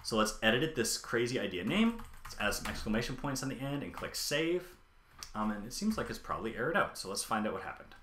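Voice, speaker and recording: A man's voice: medium pitch, very animated, fast, a bit bright, slight background noise. A man in his thirties live coding on a stream, thinking aloud with many ums and answering chat questions. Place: home office, indoors. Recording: echoey room.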